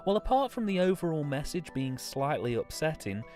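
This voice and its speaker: A man's voice: fairly high pitch, big pitch swings, moderate pace, darkish tone, soft background music. A young adult man giving review commentary on films. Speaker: guest reviewer.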